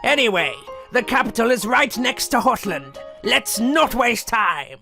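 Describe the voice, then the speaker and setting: A man's voice: very high-pitched, sing-song, measured pace, vocal fry, sharp tone, faint music behind, heavily acted. A man voicing a boastful, kindly, childlike skeleton with a grandiose, earnest manner in a fan comic dub. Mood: proud, excited.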